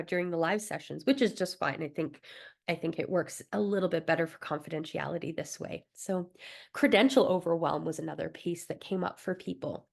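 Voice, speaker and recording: A woman's voice: fairly low pitch, vocal fry. Encouraging Canadian woman workshop presenter. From Alberta, Canada. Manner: warm encouraging coaching, frequent light laughs and fillers. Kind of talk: recorded wellbeing workshop talk.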